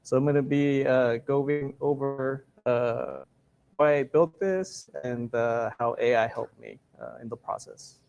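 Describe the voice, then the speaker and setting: A man from Guatemala, a software engineer, giving a technical conference talk in a hesitant way full of "uh" fillers. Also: medium pitch, unhurried, creaky, clean tone, lo-fi sound, faint music behind. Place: conference stage.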